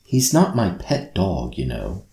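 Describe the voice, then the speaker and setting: A man's voice: deep voice, wildly swooping pitch, a little slow, mellow, roomy sound, exaggerated voice. A man voicing an earnest, eager young girl in a children's bedtime story. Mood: frustrated. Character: Thompson, earnest young girl, frustrated, eager, full of wonder.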